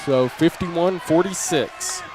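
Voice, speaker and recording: A man's voice: fairly high pitch, slow, a crowd in the background. A man doing live play-by-play basketball commentary in short, clipped running calls, as a sports announcer. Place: indoor gymnasium during a basketball game. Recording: noisy live gym broadcast.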